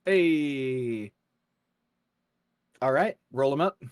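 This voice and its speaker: A man's voice: medium pitch, animated, extremely slow, clear, resonant tone, no room sound, clean studio sound. A man narrating a tabletop game as game master in a casual, chatty, colorful and informal way.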